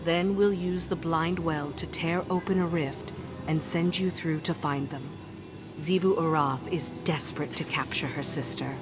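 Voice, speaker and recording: An actress playing a serious, commanding strategist in a video game, giving a measured, explanatory mission briefing. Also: female voice, low-pitched, steady tone, over music. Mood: grave, urgent. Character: grave, commanding woman strategist briefing a soldier.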